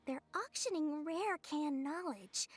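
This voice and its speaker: A woman's voice: high voice, expressive, slow pace. A woman playing a small, greedy, sly merchant in a video game, with theatrical, wheedling sales patter. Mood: conspiratorial. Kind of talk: animated video game voice acting.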